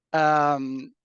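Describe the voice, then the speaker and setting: A man from Dubai, a panel speaker telling personal stories over a video call, pausing often with fillers. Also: fairly high pitch, fairly bright tone, clear, resonant tone, no room sound, muffled recording. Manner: hesitant, many uh fillers. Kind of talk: personal storytelling talk.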